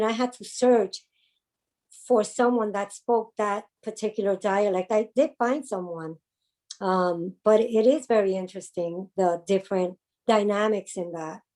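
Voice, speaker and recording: A woman's voice: medium pitch, mellow. Earnest Bronx woman with a Spanish-tinged accent. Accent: Spanish-influenced New York. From South Bronx, New York. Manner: earnest, halting, with frequent ums and repeats. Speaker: domestic violence advocate, guest. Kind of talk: interview conversation.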